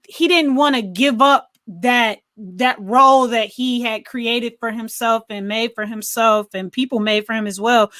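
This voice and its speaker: A woman's voice: fairly high pitch, a bit bright, no echo, studio quality. An American woman co-hosting a casual movie discussion, thinking aloud in a conversational way. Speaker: podcast co-host reviewing films. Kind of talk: casual chat, film discussion.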